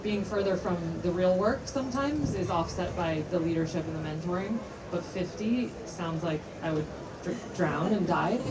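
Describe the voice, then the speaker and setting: A woman's voice: fairly low pitch, recorded from a distance, noisy background. A woman in the audience asking a long, articulate question at a panel.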